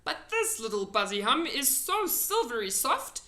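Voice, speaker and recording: Woman voicing an emphatic, dreamy gentle giant. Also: high-pitched, very animated, moderate pace, roomy sound, pitched above the performer's own voice, full character voice. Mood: mysterious, hushed. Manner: quaint muddled grammar, emphatic delivery. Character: big gentle dreamy male giant, proud and earnest. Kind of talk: storybook character reading.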